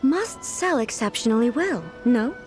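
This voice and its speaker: A woman playing a dignified, earnest female ruler in a video game, formal and measured, literal-minded and naively curious about everyday life. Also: fairly high pitch, lively intonation, soft background music.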